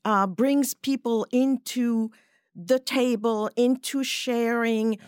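A woman's voice: a little high, fairly even intonation, a little mellow, clear, resonant tone, no echo, clean studio sound. A woman who grew up in Switzerland and speaks English as a second language, French being her first, talking thoughtfully as a podcast guest. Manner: thoughtful, measured, with pauses and fillers. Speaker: guest, cookbook writer. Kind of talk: podcast interview.

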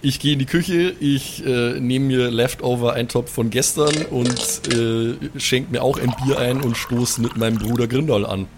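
A man's voice: mid-range voice, fairly even intonation, unhurried, few pauses, background noise, lightly acted. A man playing a hungover, boastful dwarf adventurer, groaning and muttering in self-satisfaction, in an improvised fantasy audio game. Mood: relaxed.

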